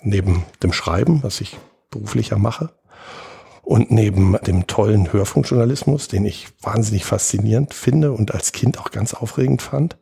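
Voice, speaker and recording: A man in his fifties from Berlin speaks as a podcast guest, thoughtful and digressive as he tells his stories. His voice is low and gravelly, with a husky, unclear edge. The tone is dark and mellow. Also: moderate pace. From West Berlin, Germany. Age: 50s. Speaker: guest, political journalist. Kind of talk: reflective conversational storytelling.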